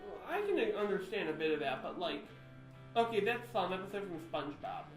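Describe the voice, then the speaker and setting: A man with a lisp co-hosting a podcast in casual chat, rambling and jokey with quick tangents. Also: sits high, very animated, echoey room, faint music behind, low-quality recording. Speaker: podcast co-host.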